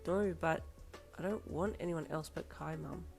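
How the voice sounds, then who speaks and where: A woman voicing a sulky teenage girl who speaks flatly and deadpan, flaring into angry outbursts. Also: deep voice, normal speed, gravelly, soft background music. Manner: flat and muttered, flaring into shouting.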